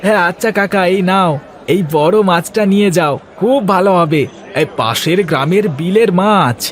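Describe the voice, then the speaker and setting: A man puts on an eager, obliging village fellow for a cartoon. The voice is pitched very high for a man. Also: moderate pace, lightly acted. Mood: eager, friendly. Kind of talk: Bengali cartoon dialogue.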